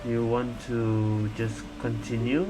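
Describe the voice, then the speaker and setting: Man casually commentating over loud game music. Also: mid-range voice, flat delivery, slow pace, poor audio. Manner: hesitant, repeats words while thinking aloud. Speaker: gaming streamer.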